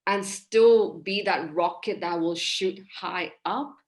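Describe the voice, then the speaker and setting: A woman in her thirties from Hong Kong, a relationship coach talking in a casual podcast interview over a video call, expressive and gesturing as she speaks. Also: on the low side, slow pace. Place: small room indoors.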